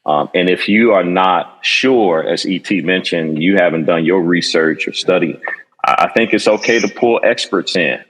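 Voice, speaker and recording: A man on a panel, speaking in a measured, thoughtful way with frequent pauses. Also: sits low, fairly bright tone, long reverb tail, poor audio. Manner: measured, thoughtful, many fillers. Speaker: educator panelist. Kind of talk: panel discussion.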